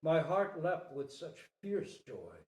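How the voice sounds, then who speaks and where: A man's voice: on the high side, sing-song, a little mellow. A man giving a dramatic, emphatic storytelling reading of a parable, drawing out his phrases. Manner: theatrical, emphatic, with drawn-out phrases. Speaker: storyteller, Zen teacher. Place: reverberant room at a retreat talk. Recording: roomy, echoing live recording.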